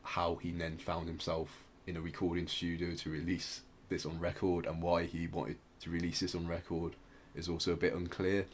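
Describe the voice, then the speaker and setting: A man with a very deep voice hosts a casual music podcast. He explains things in a hesitant, roundabout way, over noticeable noise. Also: monotone, moderate pace, few pauses. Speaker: podcast host.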